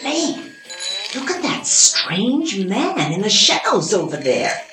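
A woman's voice: mid-range voice, sing-song, slow, bright, forceful tone, roomy sound, far from the mic, soft background music, exaggerated voice. A woman playing a plucky, brave sidekick in an amateur radio play. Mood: urgent. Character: adult female detective's sidekick, plucky and brave.

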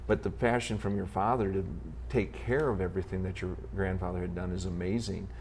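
A man hosting an interview, asking questions in an admiring, measured way with hesitant fillers. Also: deep voice, level tone, darkish tone, slight background noise. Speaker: host.

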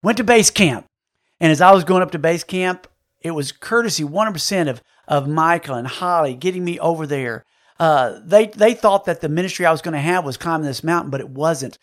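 A man hosts an interview with enthusiastic, preacher-like emphasis. His voice sits a little higher than most men's. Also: fairly quick. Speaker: interview host. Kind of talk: faith interview hosting.

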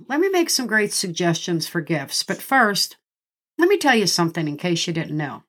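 A middle-aged woman hosts a podcast with a slightly nasal, stuffed-up sound from a cold. She keeps a friendly tone and pauses between items as she reads out lists. Her pitch sits in the middle for a woman. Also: fairly bright tone. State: congested from a cold. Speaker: podcast host. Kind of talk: scripted podcast monologue.

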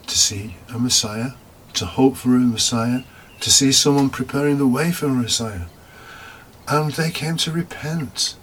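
Low-voiced man preaching, noisy recording. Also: a bit bright. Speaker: deacon preaching. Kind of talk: homily, read from prepared text.

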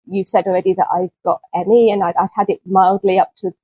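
A woman guest on a nature podcast, answering in a gentle, unhurried way with small restarts and soft laughs, sounding a little low on energy. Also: steady tone, breathy edge, dry recording, dull audio. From UK, not Scotland. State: chronically ill, speaks with low energy. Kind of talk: conversational interview answers.

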